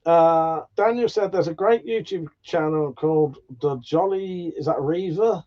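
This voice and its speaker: A man's voice: a little high, measured pace, muffled recording. A man from England, hosting a casual livestream, reading out chat comments in a sweary, jokey way with frequent laughs. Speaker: livestream host.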